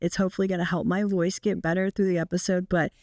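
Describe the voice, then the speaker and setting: A woman in her twenties hosting a casual solo podcast, her naturally raspy, deep voice made hoarse by a recent illness, chatting with frequent fillers. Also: flat delivery, quick pace, darkish tone, no echo, studio quality. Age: 20s. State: sick, hoarse. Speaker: podcast host.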